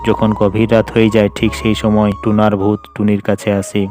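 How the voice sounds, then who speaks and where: A man's voice: fairly low pitch, completely flat delivery, normal speed. A man narrating scripted fairytale stories for a children's cartoon. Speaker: storyteller narrator. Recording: loud background music. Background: music.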